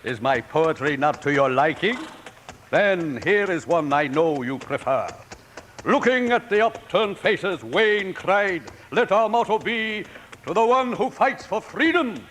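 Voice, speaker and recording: An actor playing a pompous old doctor in a Western radio drama, declaiming in florid theatrical style. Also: male voice, sits high, lively intonation, a little slow, fairly bright tone, a little hiss or hum. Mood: grandly declaiming. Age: older. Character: pompous old poetry-spouting frontier gentleman doctor. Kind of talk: 1950s Western radio acting.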